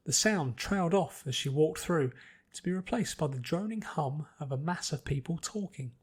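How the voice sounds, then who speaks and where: A man's voice: on the high side, expressive, normal speed, husky. A man reading scripted story narration as an audiobook narrator.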